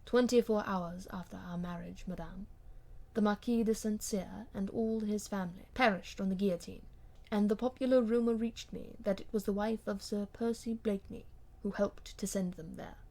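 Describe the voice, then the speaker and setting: Audiobook role: woman reader as haughty gentleman husband, formal, controlled, cold, old-fashioned courtesy. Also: medium pitch, steady tone, moderate pace, natural voice. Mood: cold, restrained. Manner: stiff, formal, clipped politeness. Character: cold, proud English gentleman husband, rigidly restrained.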